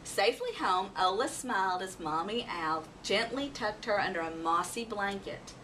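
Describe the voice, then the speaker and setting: A woman's voice: medium pitch, expressive, normal speed. A woman reading a children's story aloud expressively, acting out animal sounds and voices. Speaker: storybook reader. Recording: noisy, distant microphone.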